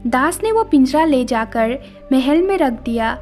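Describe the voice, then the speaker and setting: A woman's voice: high voice, very animated, a little slow, a little mellow, faint music behind. A woman narrating a scripted moral story as the storyteller.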